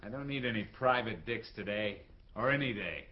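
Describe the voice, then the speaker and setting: An actor playing a surly, arrogant playboy in a radio drama, curt and dismissive. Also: male voice, lowish voice, expressive, moderate pace, highs cut off. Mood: irritated, hostile.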